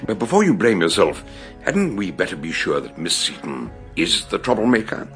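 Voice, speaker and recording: An actor playing an eccentric, learned older British detective in a radio drama, ruminative and exclamatory with old-fashioned turns of phrase. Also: male voice, sits low, huge pitch swings, normal speed, fairly bright tone, soft background music, exaggerated voice. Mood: grave, musing. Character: eccentric older learned male detective doctor, erudite and theatrical.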